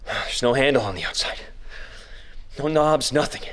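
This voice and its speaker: An actor playing a frightened, desperate trapped man with a wry streak, recording himself in a breathy audio drama monologue. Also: mid-range voice, moderate pace, fairly bright tone, close to an everyday voice. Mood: anxious, puzzled.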